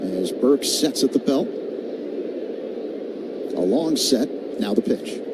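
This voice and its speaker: A man's voice: mid-range voice, animated, takes it slowly. An American man calling live baseball play-by-play on the radio. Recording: clearly audible stadium ambience noise. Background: ballpark ambience.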